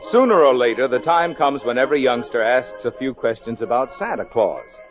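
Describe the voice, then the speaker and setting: An American man announces in scripted 1950s radio style. His medium-pitched voice moves with very animated intonation. The sound is a dull, vintage broadcast recording. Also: normal speed, over loud music.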